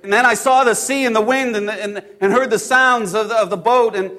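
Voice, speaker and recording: A man playing an impulsive disciple in a first-person dramatic Bible monologue, halting and repeating his phrases as he swings between hushed and loud delivery. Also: sits high. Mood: panicked.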